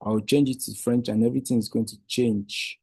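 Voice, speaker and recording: Husky, dark-toned man teaching a software tutorial. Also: mid-range voice, steady tone, a little fast, no echo. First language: Igbo. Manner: patient step-by-step explaining with restarts. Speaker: Wikidata trainer. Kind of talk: screen-share tutorial.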